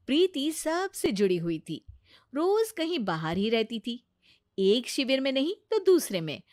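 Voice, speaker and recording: Lively woman narrating a story. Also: fairly high pitch, no room sound, studio quality. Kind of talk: expressive scripted narration.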